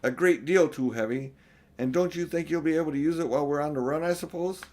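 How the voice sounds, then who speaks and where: A man's voice: on the high side, fast talker, a little mellow, pitched above the performer's own voice, close to an everyday voice. A practical beaver husband from a storybook, read by an older man. He talks in a blunt, homely and slightly impatient way.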